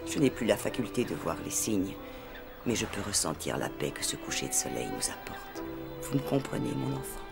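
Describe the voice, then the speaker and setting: A woman playing an elderly, gentle aristocratic grandmother in a cartoon, speaking in a refined, wistful way. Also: rumbling low, sing-song, normal speed, gravelly, exaggerated voice. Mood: wistful, sorrowful. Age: older. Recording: background music under dialogue.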